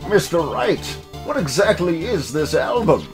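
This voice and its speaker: A man's voice: on the high side, big pitch swings, unhurried, over music. A man playing a formal, puzzled older male judge in a courtroom video game.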